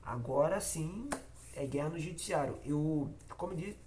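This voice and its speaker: A man's voice: fairly high pitch, animated, moderate pace, darkish tone, slight background noise. A man with a Carioca accent who has a cold, his voice nasal, hosting a casual football fan vlog and talking in a repetitive, emphatic way straight to his viewers. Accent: Carioca Brazilian Portuguese. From Rio de Janeiro, Brazil. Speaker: football fan channel host.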